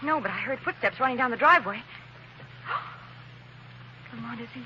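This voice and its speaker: A woman's voice: on the high side, animated, normal speed, muffled recording, a little hiss or hum, natural voice. An actress playing a loyal young female companion, urgent and breathless, in 1940s radio acting style. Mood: alarmed.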